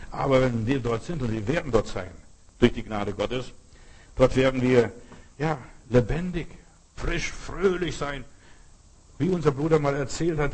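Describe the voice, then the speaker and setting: Husky southern German man preaching. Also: mid-range voice, a little mellow, low-quality recording. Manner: colloquial, direct address with frequent check-in questions and repetition for emphasis. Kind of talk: informal sermon to a congregation.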